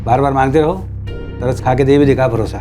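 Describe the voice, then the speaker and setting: A man's voice: medium pitch, fairly even intonation, fairly quick, lightly acted. An actor playing a cynical small-town policeman in a TV crime drama, brusque and condescending. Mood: dismissive. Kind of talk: television crime drama acting. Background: music.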